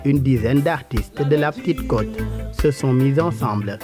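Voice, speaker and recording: A man's voice: mid-range voice, unhurried. Male news narrator over music. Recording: music bed under voice-over.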